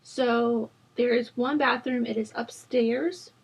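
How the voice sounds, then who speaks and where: Hoarse young woman chatting casually. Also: fairly high pitch, steady tone, slow. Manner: rambling, chatty, many ums and likes, laughs at herself. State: hoarse from a sore throat. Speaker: Sims building channel host. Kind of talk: casual voice-over chat during a speed build.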